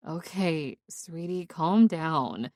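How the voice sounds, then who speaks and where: Woman playing a gentle, motherly best friend. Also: extremely low pitch, big pitch swings, very slow, creaky, slightly rough, dry recording, clean studio sound, pitched below the performer's own voice, exaggerated voice. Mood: soothing. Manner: soft, reassuring.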